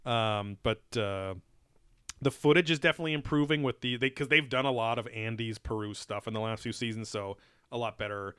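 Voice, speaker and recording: A man with a bright, forceful and smooth voice talks through a show in loose, profane podcast chat. He rambles with plenty of fillers and restarts. The sound is dry and close. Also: mid-range voice, fairly quick, no echo. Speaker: podcast co-host. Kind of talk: casual chat reviewing a TV show.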